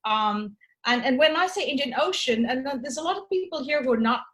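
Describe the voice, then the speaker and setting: A woman's voice: sits high, lively intonation, a little fast, a bit bright. A woman from Tanzania presenting her book at an academic forum, thoughtful and searching, with frequent restarts and fillers. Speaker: author and event host. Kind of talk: academic talk introducing a book.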